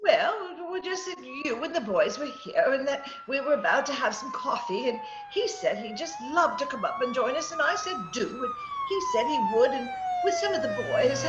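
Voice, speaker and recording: A woman's voice: very high-pitched, very noisy, full character voice. A woman playing a sweet, naive older mother who chatters in a flustered, genteel, twittering way, in 1940s radio drama style. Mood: innocent, flustered.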